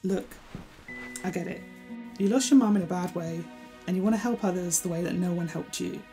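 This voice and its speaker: A man's voice: high-pitched, fairly quick, mellow. An actor playing a sassy, cautious young man with a British accent and a sarcastic, theatrical way of talking in an audio drama. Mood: concerned. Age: young adult. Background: music.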